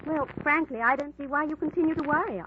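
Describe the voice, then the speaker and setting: A woman's voice: high-pitched, fast talker, lightly acted. An actress as a cool, haughty young lady. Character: poised, evasive young Englishwoman, Miss Harding. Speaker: suspect in a detective radio drama. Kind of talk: 1940s radio acting. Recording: tinny old radio broadcast, faint hiss.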